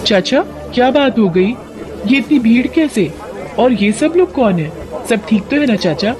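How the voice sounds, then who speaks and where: A woman's voice: fairly high pitch, animated, measured pace, over music. A woman playing a naive, good-hearted young village woman in a Hindi cartoon story, speaking simply and earnestly. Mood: puzzled. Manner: simple, chatty, deferential, earnest. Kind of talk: Hindi animated story voice acting.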